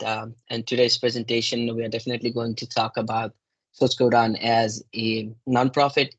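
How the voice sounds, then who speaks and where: Flat-voiced young man from Nepal. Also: medium pitch, no echo, poor audio. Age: young adult. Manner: hesitant, many fillers and restarts. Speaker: guest speaker. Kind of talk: presentation talk.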